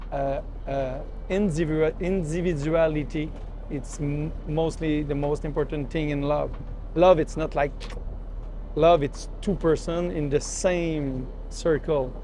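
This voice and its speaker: A man in a slightly high-pitched voice. He is reflecting casually in an interview, with faint vehicle-like noise around him. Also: dark tone. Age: 40s.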